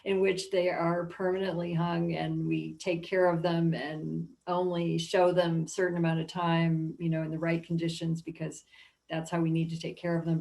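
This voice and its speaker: A woman with a slightly low, noticeably creaky voice. She talks informally as a museum curator taking part in a panel discussion. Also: a little mellow.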